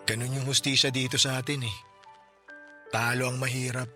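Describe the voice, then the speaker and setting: A man's voice: mid-range voice, flat delivery, bright, forceful tone, faint music behind. A Filipino man voicing a poor widower who has just lost his family, weary and bitter in a radio drama. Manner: weary, bitter, speaks slowly when stressing words. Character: grieving poor widower, tricycle driver, bitter about justice. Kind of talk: Tagalog radio drama acting.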